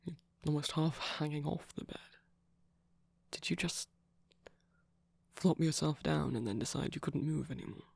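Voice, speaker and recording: A man playing a soft, caring vampire boyfriend in an intimate ASMR roleplay, speaking gently with pauses. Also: a little high, dry recording, full character voice. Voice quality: soft, breathy.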